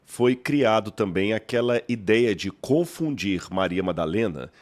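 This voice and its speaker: A man from Minas Gerais with a mineiro accent, explaining as a guest in a measured, lecturing way and posing rhetorical questions. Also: fairly low pitch, expressive. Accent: Mineiro Brazilian Portuguese. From Minas Gerais, Brazil. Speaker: guest scholar. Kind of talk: explanatory podcast interview.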